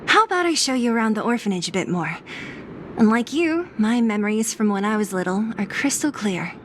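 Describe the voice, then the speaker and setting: Blunt sarcastic tough young game girl, kinda high voice, noisy ambience behind. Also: expressive, brisk, a bit bright. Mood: teasing, blunt. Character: brash, tough young woman from the underworld. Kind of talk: dramatic game cutscene voice acting.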